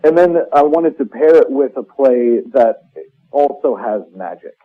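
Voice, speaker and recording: A man's voice: medium pitch, expressive, unhurried. A man giving a casual interview as a playwright, talking reflectively and often correcting himself as he goes. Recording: muffled phone-like line.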